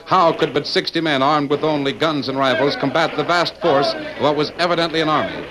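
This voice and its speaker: A man's voice: on the high side, fairly quick, heavy background noise. A man narrating an old-time radio drama from a script, in a dramatic, formal announcer delivery. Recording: old radio recording with crowd din.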